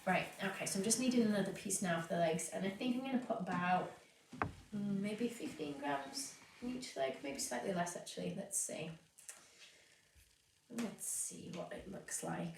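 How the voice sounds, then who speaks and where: A woman's voice: mid-range voice, normal speed, gravelly. Sniffly woman teaching from afar. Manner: chatty, explains as she works, many fillers. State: sniffly from hay fever. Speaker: cake decorator teaching a live stream. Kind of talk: casual live tutorial. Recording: roomy, distant, faint noise.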